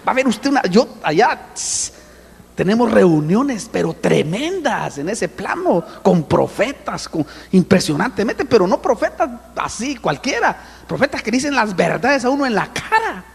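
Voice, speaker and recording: A man preaching a sermon with an animated manner, often calling out to his listeners as brother. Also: sits high, normal speed, gravelly, slightly rough, long reverb tail. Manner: animated, addresses listeners as brother, acts out voices. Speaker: apostle preaching.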